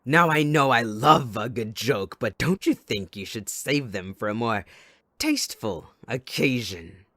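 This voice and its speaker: A man playing a mocking, possessive, sadistic villain in an ASMR audio roleplay, with a taunting, drawn-out theatrical delivery. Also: medium pitch, very animated, bright, forceful tone, no room sound, exaggerated voice. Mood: gleeful mockery.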